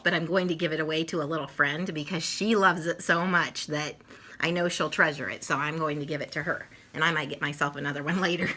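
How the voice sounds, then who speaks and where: A woman reading a children's story aloud in an expressive way, with dramatic emphasis for young listeners. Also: sits low. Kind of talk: children's storybook read-aloud with informal introduction.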